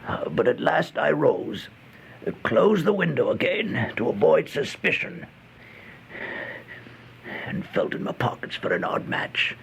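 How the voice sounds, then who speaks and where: An actor playing an exhausted, starving homeless man who recounts a horror tale in a halting, breathy, theatrical old-time radio style, often dropping toward a whisper. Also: fairly high pitch, big pitch swings, exaggerated voice. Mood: exhausted, haunted. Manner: halting, slow, theatrical, anguished. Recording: noisy old radio recording.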